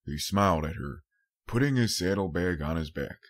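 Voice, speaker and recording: A man's voice: sits low, lively intonation, normal speed. A man reading a scripted story as an audiobook narrator.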